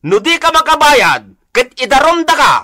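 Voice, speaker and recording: Excitable, high-pitched Ilocano husband. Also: male voice, normal speed, exaggerated voice. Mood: defiant. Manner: excitable, exclaiming, swinging from mumbling to shouting. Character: hapless husband Mario, meek until he erupts in defiance. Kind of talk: Filipino radio comedy drama acting.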